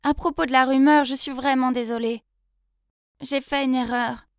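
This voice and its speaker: A woman voicing an earnest young female office intern who tells her love story in an expressive, confiding way. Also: high voice, moderate pace, smooth, no room sound, studio quality. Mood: apologetic, pleading. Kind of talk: dramatised first-person story narration.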